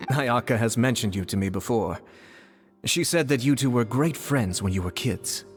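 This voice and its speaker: A man playing a composed, courteous gentleman in a video game, speaking in a measured, polite way. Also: medium pitch, faint music behind, close to an everyday voice. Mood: mild. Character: composed, courteous adult male actor, gentlemanly. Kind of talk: video game character acting.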